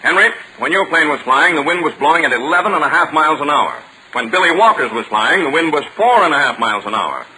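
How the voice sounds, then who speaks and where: A firm American race official in an old radio comedy. His voice is fairly high and very sharp, officious in manner, over faint vintage broadcast hiss. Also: sing-song, quick pace, full character voice, rough recording. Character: firm, officious adult male race referee. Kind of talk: 1940s radio comedy acting.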